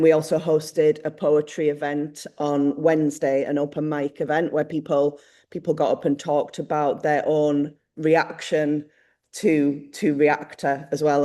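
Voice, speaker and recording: A woman's voice: low-pitched, warm tone. A woman presenting upcoming events informally, chatty and playful with a self-deprecating touch. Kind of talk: informal live event update.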